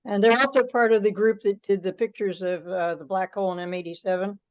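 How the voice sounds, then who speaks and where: Female academic, casual technical chat, medium pitch, muffled teleconference sound. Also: fairly even intonation, brisk. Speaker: academic in a group call. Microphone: teleconference call. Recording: dull lo-fi conference call audio.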